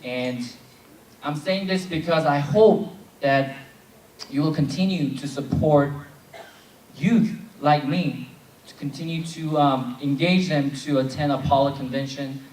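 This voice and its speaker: A man's voice: fairly high pitch, darkish tone. A young man in his twenties, from South Korea, moderating a convention plenary and leading chants from the stage. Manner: leads call-and-response chants. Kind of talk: convention speech. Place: large convention hall with audience. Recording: echoey hall, distant mic, crowd noise.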